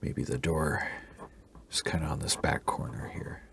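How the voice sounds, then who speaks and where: A man with a raspy voice, fighting off a cold, talking softly through a drawing tutorial as he sketches and thinks aloud. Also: a bass voice, steady tone, a little slow. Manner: soft-spoken, thinking aloud while sketching, sometimes half-whispered. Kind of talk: relaxed drawing tutorial commentary.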